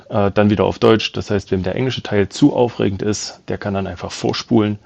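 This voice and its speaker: A man hosting a podcast, speaking in a halting way with many fillers. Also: lowish voice, vocal fry, a little mellow, dry recording. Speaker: podcast host.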